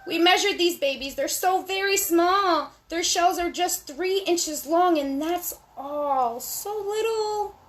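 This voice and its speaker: A woman with a high voice reads a rhyming children's book aloud. Her delivery is animated and sing-song, pitched directly at little listeners as a storyteller would. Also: takes it slowly, few pauses, slight background noise.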